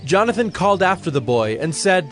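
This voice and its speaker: A man's voice: high-pitched, sing-song, fairly quick, a bit bright, soft background music. A man narrating a dramatized Bible story from a script.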